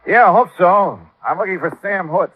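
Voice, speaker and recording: An actor playing a brisk, polite, wry American insurance investigator in a 1950s radio detective drama. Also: male voice, high voice, breathy edge, no room sound. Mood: affable, probing. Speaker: lead character and narrator. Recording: dull, noisy old radio recording.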